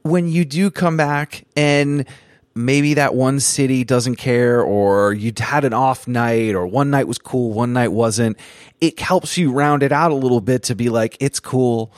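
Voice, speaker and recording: Relaxed male podcast host. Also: medium pitch, measured pace, no echo, clean studio sound. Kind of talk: casual interview chat.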